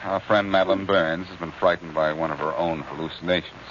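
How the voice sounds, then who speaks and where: An actor playing a courteous, quick-witted male detective, smooth and take-charge, in 1940s radio drama style. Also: low voice, little pitch movement, lightly acted. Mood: brisk. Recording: muffled, noisy old-time radio recording.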